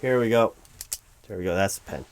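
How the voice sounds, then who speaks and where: Low-voiced man as a flustered, stammering interviewer. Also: a little fast, smooth. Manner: stammering, rambling, officious. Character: flustered male corporate hiring manager, distracted and evasive. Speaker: performer playing a job interviewer. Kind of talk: one-sided comedic ASMR interview roleplay.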